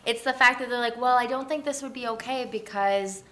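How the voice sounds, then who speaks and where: A woman's voice: on the high side, a little fast, a bit bright, a little hiss or hum. A woman speaking as a podcast guest, earnest and informal, in casual conversational interview style.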